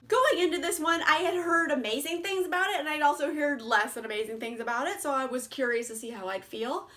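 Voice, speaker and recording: A woman's voice: sits high, brisk, a bit bright. A young woman casually reviewing books in a chatty vlog, laughing often and swearing freely. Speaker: book reviewer.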